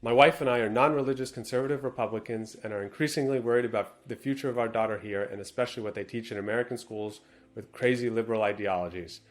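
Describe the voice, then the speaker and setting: A man's voice: mid-range voice, fast talker. A man co-hosting a casual talk show, reading out a viewer's letter and adding dry, matter-of-fact comments between passages. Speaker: co-host.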